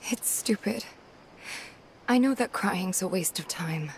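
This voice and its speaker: Slow, gentle, vulnerable young woman. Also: on the low side, animated, slight background noise. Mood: hurt, tearful. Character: soft-hearted, vulnerable young woman, caring and remorseful. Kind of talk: video game cutscene acting.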